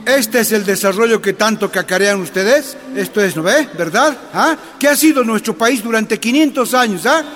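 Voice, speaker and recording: High-pitched, indignant Bolivian miner. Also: male voice, expressive, moderate pace, few pauses, fairly bright tone. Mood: bitter, indignant. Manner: emphatic, challenging rhetorical questions. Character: angry Bolivian miner, laid off, defiant and bitter. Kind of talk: radio drama acting. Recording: music and crowd noise behind.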